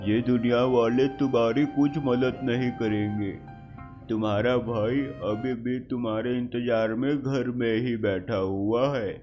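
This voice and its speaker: Man voicing a slow-talking village elder. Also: mid-range voice, steady tone, muffled recording, lightly acted. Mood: concerned, advising. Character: village men, stern older brother and elder neighbours. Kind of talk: slow, moralising cartoon dialogue. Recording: music behind the voice.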